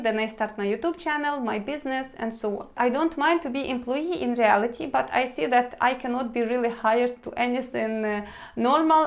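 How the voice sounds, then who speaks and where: A woman in her thirties from Ukraine, speaking English with a Ukrainian accent as a second language, talking earnestly and directly to her viewers in a personal vlog. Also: fairly high pitch. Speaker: channel host.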